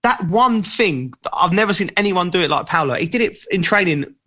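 Man, ex-footballer co-host, enthusiastic, chatty, high voice, bright. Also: animated, fairly quick. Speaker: podcast co-host, former footballer. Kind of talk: casual podcast chat.

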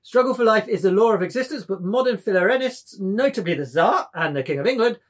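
Man acting a high, swooping, tipsy young intellectual. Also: lively intonation, fast. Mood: rambling, lofty. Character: drunken, nervous young intellectual poet, defiant and rambling. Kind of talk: dramatic group table reading.

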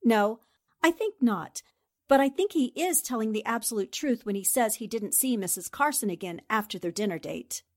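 Female actor as a poised girl reporter, precise, slightly high voice. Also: animated, normal speed, darkish tone, lightly acted. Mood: deducing. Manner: poised, precise, explains deductions. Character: sharp-witted female newspaper reporter. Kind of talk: amateur audio drama acting.

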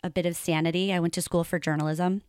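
A woman's voice: on the low side, level tone, fast, darkish tone, smooth, no echo, studio quality. A woman hosting an interview over a video call, chatty, with many fillers and frequent laughs. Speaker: podcast host. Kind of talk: casual interview chat.

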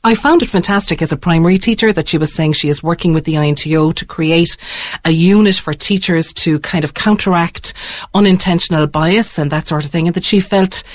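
An Irish woman presents an arts programme on radio in a slightly low voice, recorded close and dry. She is effusive and wordy, interviewing in a conversational way. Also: brisk, no room sound. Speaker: arts radio show host.

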